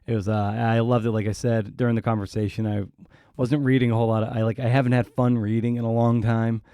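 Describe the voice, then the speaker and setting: A man interviewing casually as a podcast host, halting as he searches for his words. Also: medium pitch, little pitch movement, warm tone, clear, resonant tone, no echo, studio quality.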